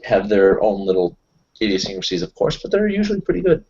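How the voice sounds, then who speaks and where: A man's voice: on the low side, sing-song, quick pace, a little mellow, husky, muffled recording. A man chatting casually as a player in a tabletop roleplaying group, explaining things in a discursive way. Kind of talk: casual in-character group chat.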